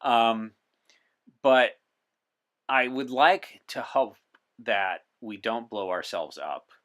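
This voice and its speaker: A man's voice: mid-range voice, expressive, slow, a bit bright. A man speaking as an interview guest, explaining things in an articulate, erudite way. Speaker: podcast guest in the persona of a time traveler from 1964. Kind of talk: in-character interview talk on science fiction and current events.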